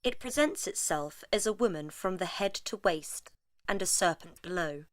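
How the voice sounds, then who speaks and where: A woman reading scripted narration in a measured storytelling manner. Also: fairly low pitch, lively intonation, dry recording. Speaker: narrator of a monster segment.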